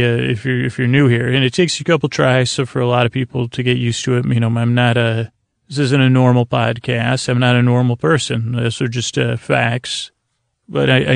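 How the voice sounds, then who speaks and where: Creaky-voiced man rambling softly at bedtime. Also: mid-range voice, no echo, studio quality. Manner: slow, languid, meandering, with long pauses. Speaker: podcast host. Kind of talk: rambling bedtime sleep podcast monologue.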